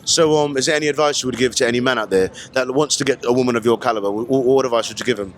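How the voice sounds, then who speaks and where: A man's voice: medium pitch, rapid-fire, slight background noise. A man interviewing people at an outdoor festival, chatty and teasing, with slangy filler and repeated phrases. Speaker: street interviewer. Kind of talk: casual street interview.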